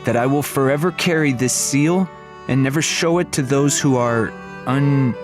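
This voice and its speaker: A male narrator puts on an earnest boy for a fantasy audiobook. The voice is raised in pitch, uneasy and urgent, with clear music underneath. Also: normal speed, lightly acted. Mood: earnest, halting. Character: earnest, uneasy boy on a coming-of-age journey. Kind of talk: audiobook character voice.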